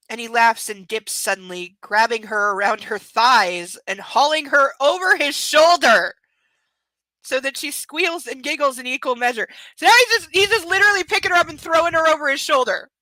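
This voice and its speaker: A woman's voice: sits high, normal speed, bright, forceful tone, dry recording, rough recording. A woman reading a novel aloud live from home on camera, breaking off for chatty, sarcastic commentary. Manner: sarcastic, chatty asides between read passages. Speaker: book reader and streamer.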